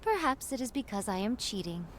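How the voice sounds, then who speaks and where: A woman's voice: fairly high pitch, sing-song, brisk, a little hiss or hum. A woman voicing an unruffled young female warrior in an anime game, speaking in formal, archaic, theatrical phrasing. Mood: wry.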